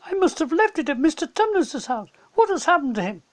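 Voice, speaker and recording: Man putting on an earnest, high-pitched little girl. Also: sing-song, a little fast. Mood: worried. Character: kindly young girl, eager and earnest. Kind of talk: children's storybook character voice.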